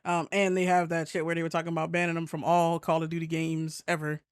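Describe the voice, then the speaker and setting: Man, high voice, smooth and clean, casual gaming talk, halting phrasing, co-host. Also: completely flat delivery, no room sound, clean studio sound. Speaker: podcast co-host. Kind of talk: casual gaming news chat.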